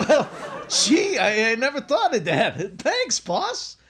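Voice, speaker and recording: A man's voice: extremely high pitch, background noise, heavily acted. A man playing a nervous, bumbling young working-class clerk in a recreated 1950s radio sitcom, stammering and hesitant. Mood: sheepish. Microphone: video call microphone.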